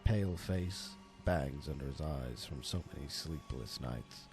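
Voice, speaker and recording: Deep, gravelly, highly animated male storyteller. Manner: theatrical, with deliberate dramatic pauses. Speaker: narrator. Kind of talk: dramatic scripted horror story narration. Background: music under the voice.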